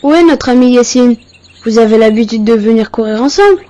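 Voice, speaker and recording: A woman acts a young cartoon boy with a fairly high, rounded, unsharp voice. He is polite and pious, sincere in everything he says and easily touched. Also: animated, a little fast, mellow, lightly acted. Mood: polite, caring. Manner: earnest, courteous. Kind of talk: didactic cartoon dialogue.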